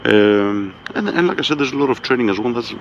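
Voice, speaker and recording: A man with a Greek accent talking in a laid-back, fairly monotone way, swearing freely and often tagging on a filler question to check he is understood. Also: mid-range voice, fast talker, highs cut off, slight background noise. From Greece. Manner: laid-back, swears freely, keeps saying "you know what I mean". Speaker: vlogger. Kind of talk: casual monologue.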